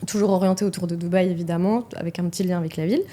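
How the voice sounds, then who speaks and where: A woman's voice: on the low side, steady tone, rapid-fire, dark tone. A young adult woman hosting a podcast interview, speaking casually and hesitantly with many fillers. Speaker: podcast host.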